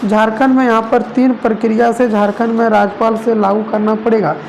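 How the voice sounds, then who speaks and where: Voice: medium pitch, little pitch movement, fairly quick, vocal fry, darkish tone, poor audio. A news presenter narrating regional reports in wordy, repetitive phrasing. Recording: noticeable background noise.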